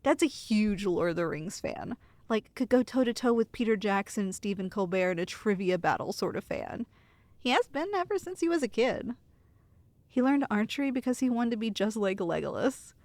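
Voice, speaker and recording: Woman acting as tender, teasing girlfriend, slightly creaky voice. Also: medium pitch, lively intonation, normal speed, darkish tone, no echo, close to an everyday voice. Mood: tender reassuring. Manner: tender, playful, teasing, reassuring. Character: affectionate teasing girlfriend soothing her nervous partner. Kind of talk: ASMR roleplay, intimate acted monologue.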